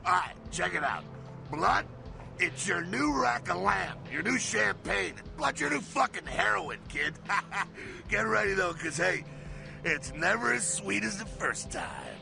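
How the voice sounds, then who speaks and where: A brash street vampire swaggers through slangy advice in a rough, gravel-heavy voice. The pitch swings widely, giving this jovial game mentor a lot of bounce, and music plays clearly behind. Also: male voice, very animated, unhurried, exaggerated voice. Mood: gleeful, teasing. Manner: slangy, folksy, swaggering, swears. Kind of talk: video game character acting.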